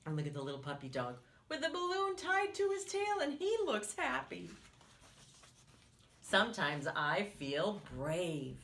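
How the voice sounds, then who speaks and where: A woman leads a story time for young children, reading in an expressive, exaggerated way. Her pitch swings widely and her pace is slow and deliberate. She keeps turning to the little listeners with questions and leaves space for them to answer. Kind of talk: read-aloud picture book storytelling for young children.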